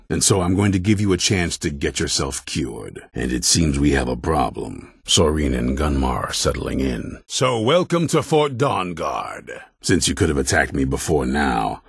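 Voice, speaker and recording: An actor playing a grim, distrustful, battle-hardened vampire hunter commander in a video game, with a gruff, blunt, stern delivery and a gravelly, husky voice. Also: male voice, very deep voice, no room sound, clean studio sound, full character voice. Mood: curt, commanding. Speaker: leader of an order of vampire hunters. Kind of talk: video game character dialogue.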